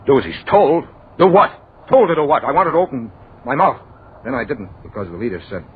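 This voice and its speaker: An actor playing a bewildered, haunted young man in 1940s radio drama, telling his tale in halting, dramatic broken phrases and repeated words. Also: medium pitch, sing-song, husky. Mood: agitated, confused. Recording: phone-like, noisy old 1940s radio recording.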